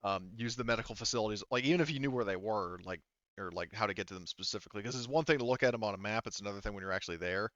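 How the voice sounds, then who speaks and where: Rambling man with a low, dull-sounding mic. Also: very fast, fairly bright tone, dry recording. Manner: rambling, repeats "like" and "you know". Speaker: game master. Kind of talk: casual improvised tabletop game narration.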